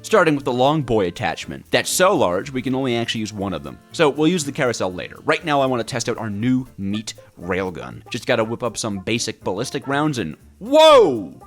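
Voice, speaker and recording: A man's voice: medium pitch, very animated, brisk, hardly any pauses, faint music behind. A man narrating a gaming video in a casual scripted style, with a playfully dramatic delivery.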